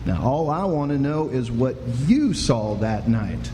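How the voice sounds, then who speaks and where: A man's voice: medium pitch, sing-song, measured pace. An American man playing a kindly, patient Old West sheriff in a radio drama, with a folksy, hesitant drawl. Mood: earnest, probing. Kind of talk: radio drama acting before an audience. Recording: echoey live hall, faint noise.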